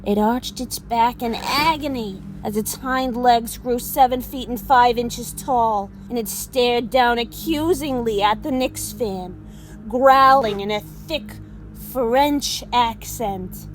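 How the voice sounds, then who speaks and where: A woman's voice: on the high side, slow pace, soft background music, rough recording. A woman acting in an audio drama as an exasperated storyteller, telling a mocking fable in a theatrical way. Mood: dramatic storytelling.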